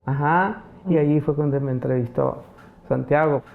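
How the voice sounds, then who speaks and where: A man's voice: a little high, fairly even intonation, normal speed, vocal fry, phone-quality sound. A man in his fifties with a Salvadoran accent, sharing personal memories in an interview. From rural El Salvador. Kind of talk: personal recollection.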